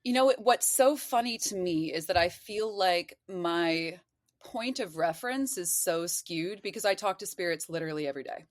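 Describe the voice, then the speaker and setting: A woman's voice: fairly low pitch, animated, moderate pace, no room sound, clean studio sound. A woman talking as a podcast guest, explaining things in a casual, digressive, conversational way. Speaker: podcast guest, occult practitioner.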